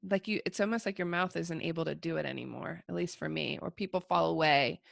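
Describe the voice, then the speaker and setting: A woman's voice: low voice, fast, creaky, no room sound, clean studio sound. A woman hosting a podcast interview, asking long and searching questions in a casual way. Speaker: podcast host.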